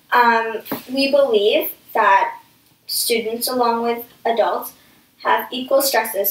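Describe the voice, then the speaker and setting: A woman answering as an interview guest in an explanatory way, recorded at a distance in an echoey room. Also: on the high side.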